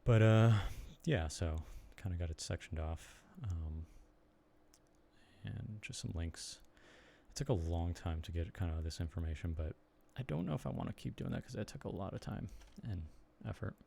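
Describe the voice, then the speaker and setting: A young man with a Chicago accent, chatting casually and haltingly to viewers on a live stream from his computer desk. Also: deep voice, lively intonation, creaky, mellow, a little hiss or hum. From Chicago area, United States. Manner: rambling, halting, lots of ums and restarts. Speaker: streamer and blogger.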